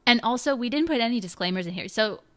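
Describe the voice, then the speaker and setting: A woman's voice: on the high side, fast. A woman co-hosting a podcast in a casual chat, speaking English as a second language and laughing often. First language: not English. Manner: laughs often, lively and playful. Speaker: podcast co-host. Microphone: remote call.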